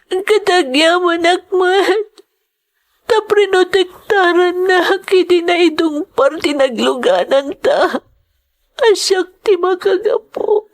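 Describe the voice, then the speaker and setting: An actress with an Ilocano accent playing a grieving young woman, tearful and halting, in a melodrama. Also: very high-pitched. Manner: tearful, slow, halting delivery. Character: grieving young woman Daniela pleading for forgiveness. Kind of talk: melodramatic Ilocano radio-style drama acting.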